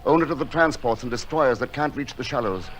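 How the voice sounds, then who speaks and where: A man playing a gentle, noble, resolute recluse in a radio play, speaking with solemn, theatrical earnestness. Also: medium pitch, brisk, slight background noise, natural voice, poor audio. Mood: urgent, excited. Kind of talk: dramatic radio play acting.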